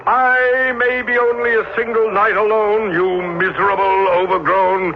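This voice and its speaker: An actor playing a deluded, bombastic knight in a radio drama, declaiming grandly. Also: male voice, sits high, steady tone, a little slow, narrow, tinny audio. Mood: defiant. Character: deluded, bombastic old knight challenging windmills as giants. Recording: noisy band-limited old radio recording.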